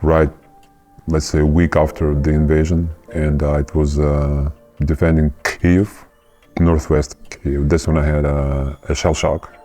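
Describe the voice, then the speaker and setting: A man, a soldier, speaking haltingly with many fillers in an interview. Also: rumbling low, level tone, mellow, faint music behind. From Ukraine, raised in Canada. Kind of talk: interview testimony.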